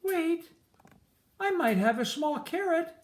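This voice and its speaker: A woman's voice: very high-pitched, lively intonation, slow, slight background noise, voice pushed up, full character voice. A woman voicing a shy, hesitant village child in a storybook. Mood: timid, hopeful. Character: timid little village child, shy and sweet.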